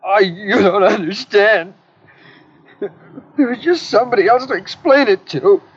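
A man's voice: high voice, a little slow, slightly rough. An actor playing a frightened young man in his twenties caught by police, stammering and pleading in a 1950s radio drama. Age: 20s. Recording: muffled old radio recording.

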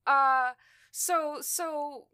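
A woman's voice: sits high, steady tone, extremely slow, sharp tone. A woman guest on a podcast, talking casually and loosely, swearing freely and laughing often. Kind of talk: casual conversation.

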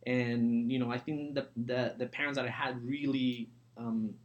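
Podcast guest: man, forties, from Mexico, mid-pitched voice, conversational entrepreneur. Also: steady tone, moderate pace, muffled recording. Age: 40s.

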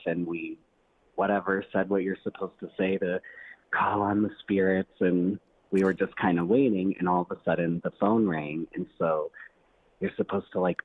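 Low husky man on a phone line. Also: no echo. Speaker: podcast guest calling in. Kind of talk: casual personal storytelling. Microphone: phone call. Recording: tinny narrowband phone line.

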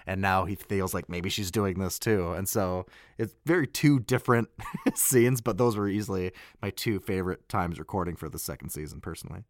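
A man's voice: low voice, lively intonation, moderate pace, no echo, clean studio sound. A man, a voice actor, chatting casually about his work in a loose, self-correcting way. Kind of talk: casual recap conversation.

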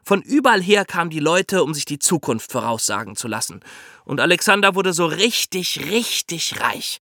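Male podcast explainer, bright tone, very lively intonation, colloquial storytelling style. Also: fairly high pitch, normal speed, gravelly, no room sound, studio quality. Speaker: podcast host explaining history.

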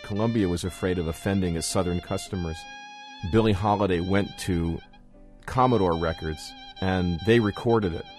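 A man's voice: low voice, moderate pace, darkish tone, soft background music. A man speaking reflectively as an interviewed author.

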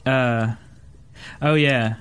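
A man's voice: medium pitch, steady tone, drawn-out words, fairly bright tone, clean tone. A man hosting a casual group podcast in a loose, hesitant, improvised way. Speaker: podcast host.

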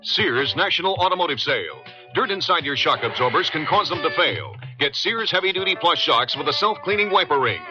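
A man's voice: fairly high pitch, lively intonation, brisk. An American man reading a scripted retail radio commercial. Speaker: commercial announcer. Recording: dull radio broadcast with music behind.

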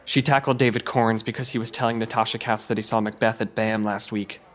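A man's voice: lowish voice, steady tone, brisk, close to an everyday voice. A man playing a matter-of-fact backstage crew member who likes to gossip, in a scripted comedy audio drama. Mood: dry, knowing.

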